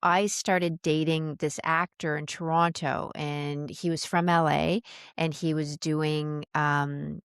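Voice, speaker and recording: A woman's voice: deep voice, little pitch movement, slow, clear, resonant tone, no echo, clean studio sound. A woman of about sixty hosting an interview podcast, moving between a prepared introduction and conversation with a guest. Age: around 60.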